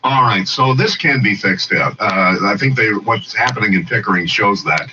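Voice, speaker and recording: A man's voice: fairly low pitch, moderate pace. A man hosting talk radio, interviewing and presenting in a conversational style. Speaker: radio host. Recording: dull distant radio playback, clear noise.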